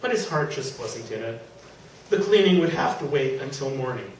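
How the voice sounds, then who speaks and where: A man's voice: medium pitch, moderate pace. Echoey, distant man reading comic fiction aloud. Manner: animated, exclaiming, digressive asides. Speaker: author reading own fiction. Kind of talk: comic story reading to a live audience. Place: public reading event in a venue. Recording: echoey room from far off, faint noise.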